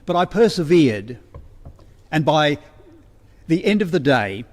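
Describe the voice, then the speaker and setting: A man preaching a sermon as a minister, deliberate and pausing between phrases. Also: a little high, big pitch swings. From Australia. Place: church, livestreamed service. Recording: long hall reverberation.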